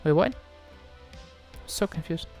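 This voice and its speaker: A man's voice: slow, darkish tone. Casual male streamer from Moldova, music behind. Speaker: live streamer answering chat questions. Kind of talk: casual livestream chat.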